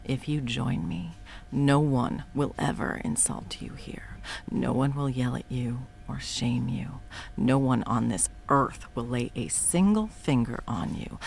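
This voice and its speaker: A woman voicing a scheming female supervillain who swears and often drops to a soft whisper, in a one-sided audio roleplay. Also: very deep voice, vocal fry, slight background noise, exaggerated voice.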